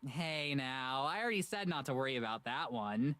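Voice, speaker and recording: Visual novel role: tough, joking young woman, low register, dry studio sound, easygoing delivery. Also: expressive, normal speed, a bit bright, no room sound. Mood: breezy.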